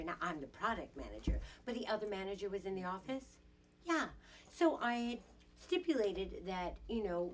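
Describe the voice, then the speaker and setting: A woman plays an indignant, sassy businesswoman in a stage monologue. She confides as if to a girlfriend, theatrical and emphatic, with a medium pitch that swoops widely. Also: close to an everyday voice. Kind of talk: improvised dramatic monologue with poetry.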